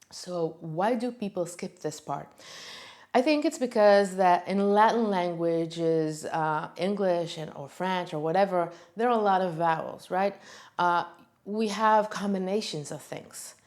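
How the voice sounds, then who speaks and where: A woman with an Israeli accent teaches in a casual, upbeat way. Her voice sits at a mid pitch and jumps up on the words she stresses. Hebrew is her first language. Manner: upbeat, emphatic, talks with lively pitch jumps on key words. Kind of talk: casual video lesson.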